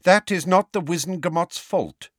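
A man's voice: medium pitch, huge pitch swings, unhurried, a bit bright, dry recording, clean studio sound, voice pushed up, heavily acted. A man voicing a cold, pompous middle-aged male official in an audiobook, declaiming formally in a ringing, officious way. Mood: cold, reproachful.

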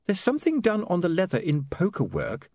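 A man's voice: high voice, wildly swooping pitch, a little fast, darkish tone, no room sound, studio quality, voice pushed up, full character voice. A man voicing a nervous, well-bred young woman who hesitates and stumbles over her words. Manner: nervous, hesitant, full of ums.

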